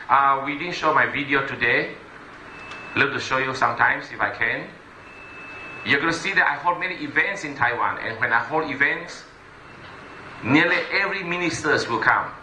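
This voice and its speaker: Bright, emphatic man. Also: medium pitch, normal speed, pauses often, reverberant, a little hiss or hum, poor audio. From Malaysia. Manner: emphatic, repetitive, addresses the audience directly. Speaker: motivational business speaker. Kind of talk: stage presentation speech.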